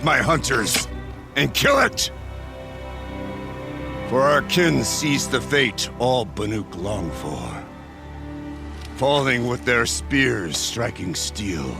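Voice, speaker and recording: A man's voice: vocal fry, exaggerated voice. An actor playing a fierce male warrior chieftain giving a rousing funeral speech to his hunters in a game cutscene. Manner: booming, rousing oratory.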